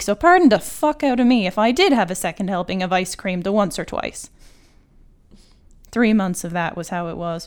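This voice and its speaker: A woman writer reading her own literary short story in a measured, articulate and wry manner. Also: mid-range voice, clean tone.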